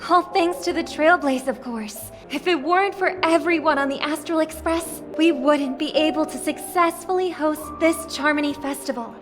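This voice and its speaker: A woman's voice: sits high, normal speed, hardly any pauses, close to an everyday voice. An actress playing a poised young woman who gives a formal, gracious ceremonial speech in a video game. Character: poised young woman, festival hostess of a ruling family. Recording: orchestral game music behind. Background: music.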